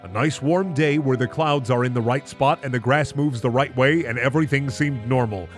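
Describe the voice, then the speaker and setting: A man's voice: mid-range voice, normal speed, soft background music, close to an everyday voice. A man voicing a naive teenage girl who earnestly tells her own story.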